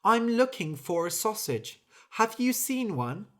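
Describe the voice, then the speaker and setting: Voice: medium pitch, sing-song, unhurried, fairly bright tone, pitched above the performer's own voice. A reader doing a weary hardworking bird. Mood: worried. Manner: earnest, complaining. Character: hardworking female bird, tired and fed up.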